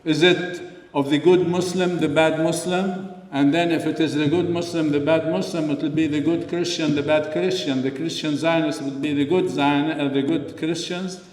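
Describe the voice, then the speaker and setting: An older man from Lebanon giving an earnest, unscripted speech at a community dinner, pausing often with fillers. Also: a little high, steady tone, a little mellow. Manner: earnest, frequent pauses and fillers. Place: large hall. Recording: echoey hall, voice from far off.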